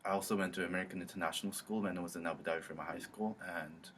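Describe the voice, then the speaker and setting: Deep-voiced young man, halting speech. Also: fairly even intonation, fairly bright tone, slight background noise. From South Korea. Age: young adult. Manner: hesitant, many ums and restarts. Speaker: international student. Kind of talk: interview testimony.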